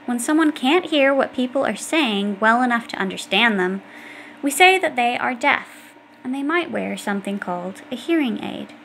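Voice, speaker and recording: A woman's voice: fairly high pitch, animated, moderate pace, gravelly, a bit bright, slight background noise, low-quality recording. A woman reading an educational children's book aloud, explaining things directly to young listeners. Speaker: audiobook reader.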